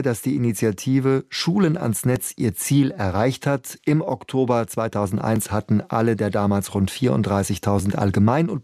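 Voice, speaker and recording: Mellow male news host, dry studio. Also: mid-range voice, no room sound. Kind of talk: measured interview and announcing.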